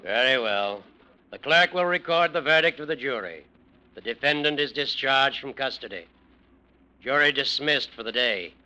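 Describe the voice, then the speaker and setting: An actor playing a stern, fatherly trial judge in 1940s radio drama, speaking formally and deliberately. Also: mid-range voice, animated, bright, forceful tone, poor audio.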